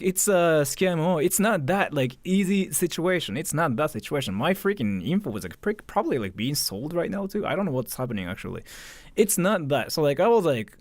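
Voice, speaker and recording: A man's voice: on the high side, no room sound. A man telling a story casually on a livestream, swearing and acting out both sides of conversations. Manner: frequent "like" and repeated phrases.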